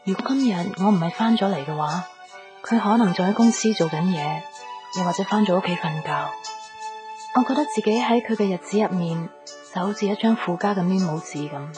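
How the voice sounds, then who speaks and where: Radio drama, Hong Kong Cantonese: female actor as young sweetheart, gentle and forbearing, natural mid-range delivery. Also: fairly low pitch, fairly quick, over music, natural voice. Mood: wistful, lonely. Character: gentle young woman in love, insecure and forbearing.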